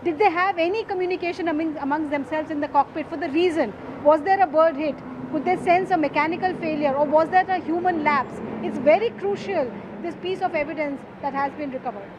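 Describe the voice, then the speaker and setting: A woman's voice: high-pitched, a little fast, hardly any pauses, muffled recording. A woman field reporter with an Indian accent, explaining emphatically in a live report from outdoors. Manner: emphatic, explanatory, rhetorical questions. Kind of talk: live on-location news reporting. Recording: clearly noisy outdoor urban field audio.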